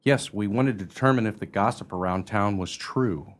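A man's voice: lowish voice, normal speed, natural voice. A man acting a gossipy, courteous junior officer in a radio play, with genteel period speech. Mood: gossipy, amused.

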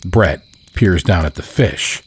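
A man narrating scripted horror fiction as a storyteller, solemn and measured. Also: low voice, animated, slightly rough. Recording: faint night ambience with crickets.

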